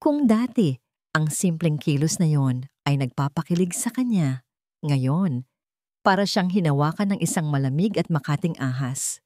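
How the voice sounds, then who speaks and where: Low-pitched woman narrating a drama. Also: lively intonation, moderate pace, mellow, no room sound, clean studio sound. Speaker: audiobook narrator. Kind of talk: dramatic scripted story narration.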